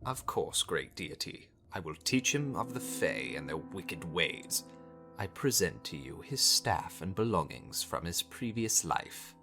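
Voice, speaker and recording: A man playing a grave, formal high cleric and mentor in a dark fantasy visual novel, with measured delivery. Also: sing-song, vocal fry, exaggerated voice. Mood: deferential. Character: male vampire high cleric, grave mentor, deferential servant. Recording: music behind the voice.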